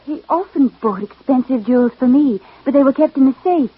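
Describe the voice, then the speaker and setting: A genteel widow in a vintage radio mystery, rather high in pitch with a husky edge. She is formal and guarded, heard on a thin, tinny recording. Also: lively intonation, a little fast, no room sound. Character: reserved, genteel newly widowed lady. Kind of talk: 1940s-50s radio acting.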